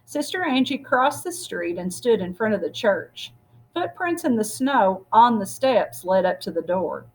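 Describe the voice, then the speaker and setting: A woman with a husky voice reading a storybook aloud expressively, as if to children. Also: medium pitch, unhurried, poor audio. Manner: expressive, acts out dialogue. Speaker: story reader.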